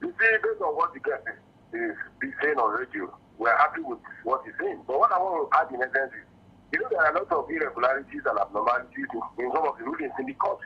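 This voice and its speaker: A man's voice: a little high, sing-song, fast talker, breathy edge. A Nigerian man calling a radio phone-in, giving an emphatic, aggrieved political opinion full of rhetorical questions. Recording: dull lo-fi phone call to radio.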